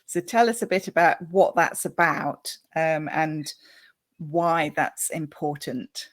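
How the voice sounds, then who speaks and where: A woman's voice: deep voice, steady tone, slow. A woman hosting a live interview in a conversational, informal way. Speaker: interview host.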